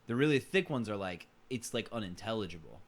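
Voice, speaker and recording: A man co-hosting a podcast in casual conversation, halting and often restarting his phrases. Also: lowish voice, expressive. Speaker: podcast co-host.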